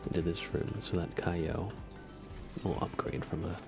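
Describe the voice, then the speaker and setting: Low, creaky-voiced man, hushed ASMR gamer. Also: over music. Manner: hushed, unhurried, musing aloud. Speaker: live streamer. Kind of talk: soft ASMR gaming commentary.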